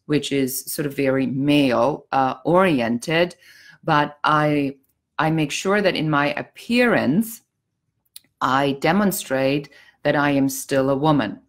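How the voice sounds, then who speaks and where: A woman's voice: sits low, takes it slowly. A middle-aged woman from Germany with a German accent, giving blunt, outspoken business advice in a casual talk with dry sarcasm. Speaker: business coach.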